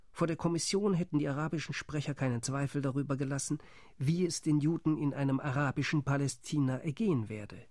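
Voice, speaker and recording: A wary male delegate in a radio play, doubtful and deliberate, as an actor plays him. His voice sits fairly high for a man, with a grave, reporting tone. The studio sound is close and dry. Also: a little mellow, no echo, close to an everyday voice. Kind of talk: radio drama monologue.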